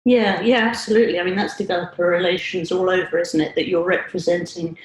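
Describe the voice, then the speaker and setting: A woman's voice: fairly low pitch, steady tone, quick pace. Woman host on a podcast, chatty and polite, lots of ums, voice bouncing in an echoey room. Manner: friendly, hesitant with many "um"s, polite British phrasing. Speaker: podcast host, senior analyst. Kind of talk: podcast interview. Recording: roomy echo.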